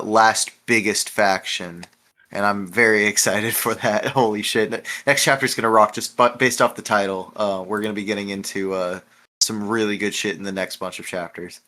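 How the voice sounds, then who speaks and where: A man's voice: lowish voice, bright, forceful tone. A man hosting a casual podcast chat, rambling and hesitant, swearing freely as he goes. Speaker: podcast host.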